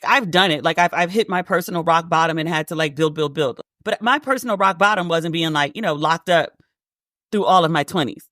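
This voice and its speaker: Woman w a deep voice rattling off a travel story on her podcast, says like a lot, dry close sound. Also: fairly quick, fairly bright tone, dry recording. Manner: chatty, heavy use of "like", acts out quoted dialogue. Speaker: podcast host. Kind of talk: casual solo podcast storytelling.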